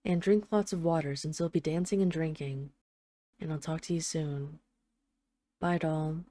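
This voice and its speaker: A woman's voice: low voice, fairly even intonation, warm tone, close to an everyday voice. A woman playing a tough but tender, jealous mafia boss, speaking intimately to an absent partner in a scripted audio roleplay voicemail. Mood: fond, affectionate. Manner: intimate, halting, sweary, addressing an absent lover.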